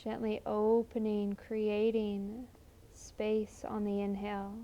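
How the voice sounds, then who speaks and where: A woman leading a guided meditation in a gentle, soothing, unhurried manner with long pauses. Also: mid-range voice, completely flat delivery, lo-fi sound.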